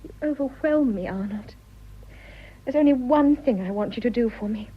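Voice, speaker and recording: Young upper-class English wife, radio drama. Fraught and guilt-stricken, high, breathy voice. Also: female voice, normal speed. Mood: overwhelmed, pleading. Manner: earnest, emotionally fraught. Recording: muffled, dull radio drama recording.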